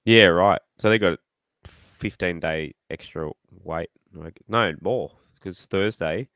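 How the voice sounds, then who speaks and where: An Australian man hosting a casual fan podcast, rambling and excitable, his voice slightly croaky. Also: sits low, slow, no echo. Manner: rambling, excitable, self-deprecating. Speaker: podcast host.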